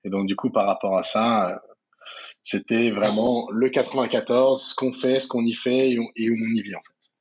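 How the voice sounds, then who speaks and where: A man's voice: medium pitch, fairly even intonation, moderate pace, breathy edge, muffled recording. A man in his thirties from the Paris region, a guest telling his life story in a casual interview, with many fillers and restarts. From France, Paris region. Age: 30s. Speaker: guest entrepreneur.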